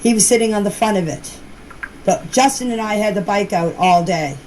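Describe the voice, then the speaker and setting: A woman's voice: medium pitch, normal speed, darkish tone. A woman giving a casual, rambling personal account in plain, folksy words, recorded as voice messages. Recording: noisy voice-message clips with background ambience.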